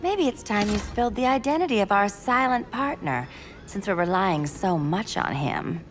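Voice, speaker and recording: An actress playing a mocking cat burglar villain in a video game, sardonic and teasing. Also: female voice, mid-range voice, expressive, normal speed, soft background music, natural voice. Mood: sly, mocking.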